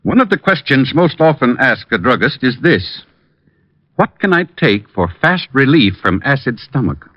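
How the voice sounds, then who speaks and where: A man playing a reassuring, authoritative family druggist, earnestly and carefully pitching in a 1940s radio commercial. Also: big pitch swings, normal speed, no room sound. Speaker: advertising pitchman. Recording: muffled vintage radio recording.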